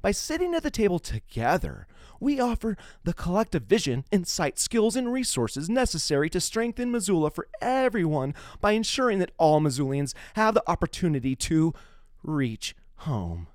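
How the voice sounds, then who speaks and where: A man's voice: sits high, big pitch swings, moderate pace, no echo, studio quality. A middle-aged man hosting a podcast, shifting between dramatic reading and casual talk. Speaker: podcast host.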